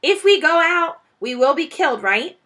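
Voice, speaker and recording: A woman's voice: high voice, animated, normal speed, bright, forceful tone, voice pushed up. A woman voicing a proud, cheerful male fox giving a grand, rousing speech in a storybook.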